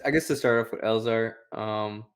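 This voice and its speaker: A man's voice: lowish voice, fairly even intonation, moderate pace. Man chatting in rambling, slangy style. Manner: rambling, heavy use of "like". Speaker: book review show co-host. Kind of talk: casual, slangy chat, retelling and commenting.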